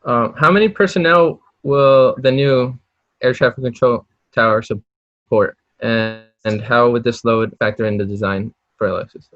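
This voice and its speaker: A man's voice: medium pitch, moderate pace, a bit bright, no echo. A young man acting as a student interviewer, asking questions conversationally over a dull, lo-fi connection.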